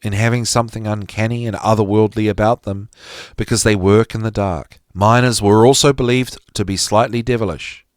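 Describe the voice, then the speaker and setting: A man giving an expressive, emphatic reading of a psychology lecture, with deliberate phrasing that drops dramatically at the ends of phrases. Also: on the low side, no room sound, clean studio sound. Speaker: podcast host reading aloud from a psychology text.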